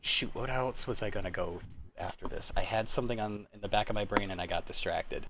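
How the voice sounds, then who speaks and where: A man's voice: on the low side, a little fast, gravelly, heavy background noise. A man in his thirties hosting a casual group chat, talking in an informal, rambling way and often interrupting himself. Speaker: host.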